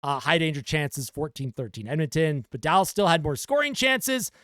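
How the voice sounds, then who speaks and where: A young man hosting a casual post-game hockey fan show, reading out comments with animated enthusiasm while losing his voice. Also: on the high side, normal speed, dry recording, clean studio sound. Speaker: fan reaction show host.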